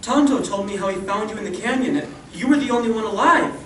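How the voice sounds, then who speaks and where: A man's voice: high voice, expressive, quick pace, fairly bright tone, a little hiss or hum. A male actor playing a curious, earnest boy in an old-time radio western. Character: Dan, curious earnest boy, the hero's nephew. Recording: echoey room from far off.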